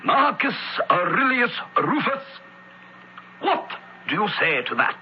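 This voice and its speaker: High-pitched, swooping Roman senator. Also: male voice, big pitch swings, slow, exaggerated voice. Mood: stern questioning. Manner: probing, theatrical. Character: commanding Roman senator questioning witnesses. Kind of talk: radio drama acting. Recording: dull radio recording, faint hiss.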